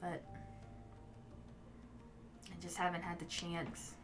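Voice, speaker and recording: Woman, native Mandarin speaker, streamer, casual chat, relaxed, musing, slow pace, game music behind. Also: fairly low pitch. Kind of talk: casual chat with viewers while playing a game.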